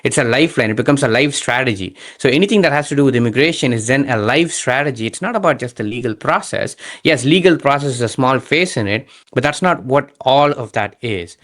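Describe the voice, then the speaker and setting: A man's voice: mid-range voice, animated, fairly quick, hardly any pauses, a bit bright. A man speaking as an interview guest, explaining his views conversationally and emphatically, often checking in with the listener. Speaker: podcast guest, entrepreneur and immigration strategist.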